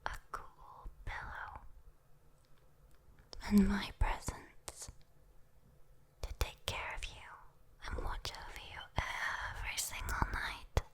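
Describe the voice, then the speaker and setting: A woman's voice: mid-range voice, close to an everyday voice. British woman playing a whispering, sweetly teasing demon girl. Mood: soothing, coaxing. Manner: whispered, coaxing, soothing, lingering on words. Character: sweet, teasing female demon coaxing a sleeper for their soul. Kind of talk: ASMR roleplay.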